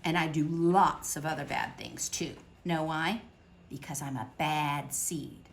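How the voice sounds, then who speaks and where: A woman reading a picture book aloud to children at storytime, expressive, with dramatic pauses. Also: deep voice, gravelly.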